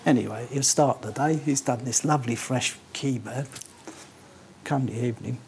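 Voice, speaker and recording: A man's voice: medium pitch, normal speed, a little mellow, slight background noise. A man in his sixties with a London accent, casually telling his life story in colloquial, sweary speech. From born in Cyprus, grew up in north London. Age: 60s. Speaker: interviewee. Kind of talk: casual oral history storytelling.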